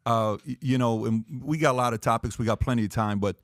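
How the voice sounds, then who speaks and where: A fast-talking man from Iran hosts the interview, placating his guest and steering him back to questions. His middle-pitched voice is recorded dry and close. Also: no room sound. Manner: quick, placating, repeats "right" and "sure". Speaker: interview host.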